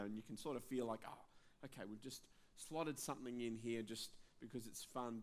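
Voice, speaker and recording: Earnest pastor introducing a guest. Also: male voice, mid-range voice. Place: church service.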